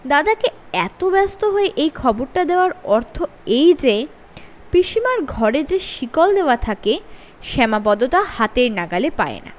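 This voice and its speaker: A woman reading a funny children's story aloud as host of her own channel, with expressive, sing-song emphasis. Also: sits high.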